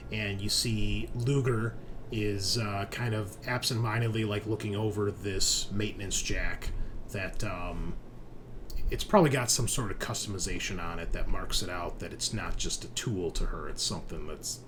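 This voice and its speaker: Low-voiced man running a tabletop game. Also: background noise. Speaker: game master.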